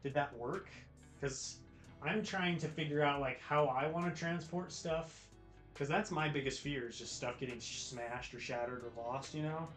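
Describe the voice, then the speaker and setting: A man's voice: on the high side, normal speed, fairly bright tone. An American man hosting a livestream, chatting casually with viewers in a laid-back, slangy way. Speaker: livestream host. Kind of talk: casual chat with live viewers. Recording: roomy echo with music behind. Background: music, guitar.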